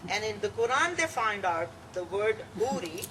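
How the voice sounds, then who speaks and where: A woman from Pakistan with a Pakistani accent, performing a satirical monologue in a deliberate, dramatic way. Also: mid-range voice, very animated. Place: live venue, cafe. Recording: distant mic, clear background noise.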